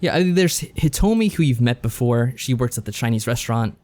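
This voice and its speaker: A man with a voice of middling pitch talks casually as a podcast co-host. He recaps a story in a loose, rambling way, with wry asides along the way. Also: lively intonation, brisk, darkish tone. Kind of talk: casual chat recapping a visual novel.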